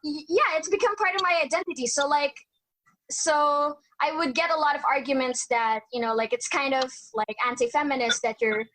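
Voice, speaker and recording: Woman, slightly high pitch, casual, filler-heavy panelist. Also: normal speed, fairly bright tone, slight background noise, rough recording. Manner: filler-heavy, lots of "you know, like".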